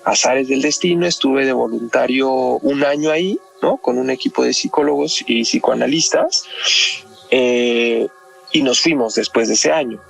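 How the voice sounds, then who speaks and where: A man's voice: medium pitch, normal speed, gravelly, slightly rough, faint music behind. A Mexican man explaining things conversationally as a psychologist guest on a podcast, often ending phrases with a tag question. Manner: constantly checks with tag questions.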